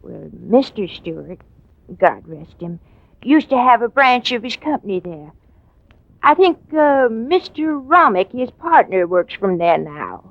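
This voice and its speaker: A woman playing a respectful, wistful housekeeper in 1940s radio drama style, halting and confiding. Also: a little high, big pitch swings, vocal fry, no room sound, exaggerated voice. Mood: polite. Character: deferential, long-serving female housekeeper, wistful. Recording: dull, dry vintage radio recording.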